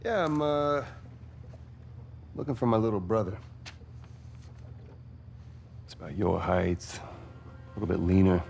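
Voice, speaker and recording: A easygoing, wry middle-aged game character. His voice is low and gravelly, moving expressively in natural conversation over faint music. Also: takes it slowly, pauses often, darkish tone. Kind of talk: naturalistic video game cutscene acting.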